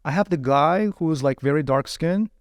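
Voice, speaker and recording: A man whose first language is Chinese, telling his story as a guest in a casual interview. He is lively and laughs often, with plenty of "you know" fillers. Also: on the high side, normal speed, no echo, studio quality.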